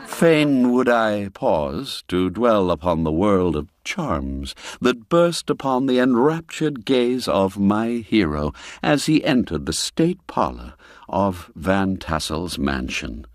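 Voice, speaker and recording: A man's voice: on the low side, big pitch swings, takes it slowly, dry recording, clean studio sound. A man narrating a classic literary tale in a theatrical, savoring, ornate storytelling style. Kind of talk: scripted literary audiobook narration. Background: background music.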